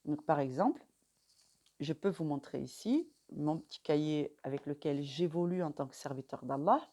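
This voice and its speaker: A woman teaching a religious study method in French, speaking calmly and measuredly, with frequent pauses and fillers. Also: low-pitched. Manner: calm, measured, pausing often with fillers. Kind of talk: instructional talk to camera.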